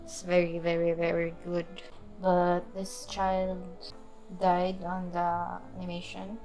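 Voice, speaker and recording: A woman game streamer giving casual live commentary in a low-key, musing way. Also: monotone, takes it slowly, warm tone, poor audio. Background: faint game music.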